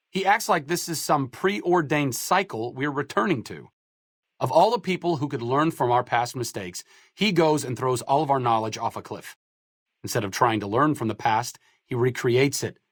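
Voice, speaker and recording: A man's voice: medium pitch, no echo, close to an everyday voice. A man playing a sardonic, bookish, self-deprecating idealist doctor in a video game, with dry, sarcastic, wordy delivery. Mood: exasperated.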